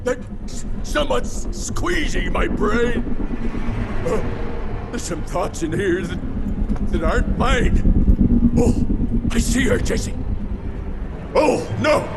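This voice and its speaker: Tormented old man, strained high voice. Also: expressive, slow pace, gravelly, pauses often, heavily acted. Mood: agonized, alarmed. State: anguished, straining, in pain. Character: tormented old man gripped by psychic visions. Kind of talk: dramatic game dialogue. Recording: loud noise behind the voice.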